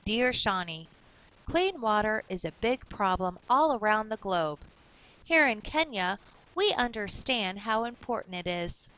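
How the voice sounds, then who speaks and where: A woman's voice: medium pitch, animated, a little slow, fairly bright tone, poor audio. A woman reading the part of a kindly, earnest grandfather in his seventies from a storybook. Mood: earnest, caring. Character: kindly seventy-year-old grandfather writing from Kenya.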